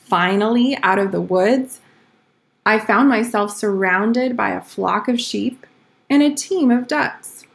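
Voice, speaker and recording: Storybook schoolboy, read by a woman, earnest teller of tall tales, slow-paced delivery. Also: medium pitch, gravelly. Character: schoolboy spinning tall-tale excuses for lateness.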